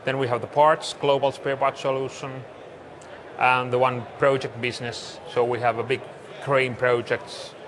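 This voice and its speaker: A man's voice: medium pitch, level tone, normal speed. Finnish-accented man presenting, noisy recording. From Finland. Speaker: corporate conference presenter. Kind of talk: business presentation. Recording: clearly noisy live room recording.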